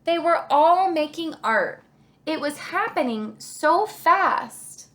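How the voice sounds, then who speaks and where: A woman reading a children's picture book aloud in an expressive, deliberate storytelling way, like a kindergarten teacher. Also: high-pitched.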